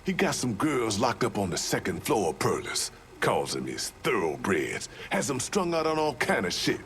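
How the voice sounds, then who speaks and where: A man's voice: lowish voice, huge pitch swings, normal speed, a bit bright, slight background noise. An actor voicing a streetwise, embittered Southern man in a video game, with folksy, salty talk. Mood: bitter, scheming. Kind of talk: video game cutscene acting.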